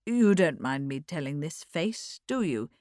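A woman voicing a jocular upper-class gentleman, pompous and declamatory, with a drawl. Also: deep voice, sing-song, a little slow, dry recording, clean studio sound. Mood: relishing anecdote.

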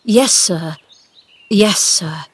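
An old gardener from a storybook, acted out by a woman, gruff on the surface and tender underneath. He speaks slowly and emphatically, full of feeling. The sound is close and dry. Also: on the low side, no room sound, full character voice. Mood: tearful, tender. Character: cross old male gardener, gruff but tender-hearted.

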